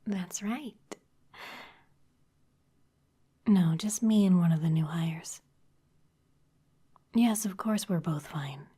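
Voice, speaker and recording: A woman voicing a sly, intimidating female vampire executive in a close, breathy ASMR whisper, polished and teasing. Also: on the low side, takes it slowly, dark tone. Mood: composed. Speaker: ASMR performer.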